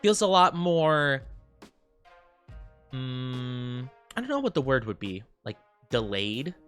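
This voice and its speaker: A young man streaming from home, chatting casually with viewers in a soft, rambling way full of fillers, sounding sniffly and stuffed up. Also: mid-range voice, sing-song, slow pace, clean tone, soft background music.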